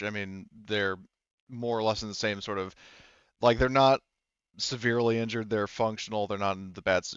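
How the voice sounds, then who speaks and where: A man narrating a tabletop roleplaying game as game master, in a halting, informal way. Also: mid-range voice, a bit bright, smooth, no room sound, lo-fi sound.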